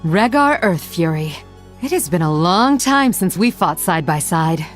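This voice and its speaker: A proud female blood elf rogue from a fantasy video game. Her voice sits fairly low, with a dry, haughty, cutting delivery and sardonic teasing, set over background music. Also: expressive, measured pace. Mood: respectful. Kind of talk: video game hero voice lines.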